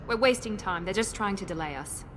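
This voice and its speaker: A woman's voice: mid-range voice, expressive, a little fast, lightly acted. A woman playing a cool, proud, protective operative in a video game cutscene, speaking in a clipped, serious way. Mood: firm, cold. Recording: clearly noisy, game ambience behind.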